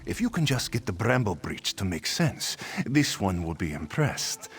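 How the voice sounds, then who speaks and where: An actor playing a put-upon, exasperated cat-folk envoy in a video game, who speaks of himself in the third person. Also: male voice, lowish voice, sing-song, moderate pace. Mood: skeptical, resigned. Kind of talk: video game character acting. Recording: music behind the voice.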